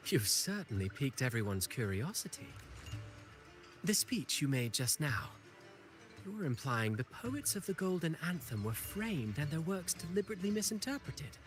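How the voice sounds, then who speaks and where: Theatrical, suave playwright, highish male voice. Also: big pitch swings. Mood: intrigued, smooth. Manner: theatrical, courtly, deliberate phrasing. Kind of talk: dramatic game voice acting. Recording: game music under the voice. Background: loud game music.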